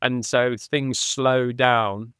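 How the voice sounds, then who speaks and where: A man who played rugby, a podcast guest reflecting conversationally and thinking aloud with restarts, getting animated on key points. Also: mid-range voice, extremely slow, clear, resonant tone, no echo, clean studio sound. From England.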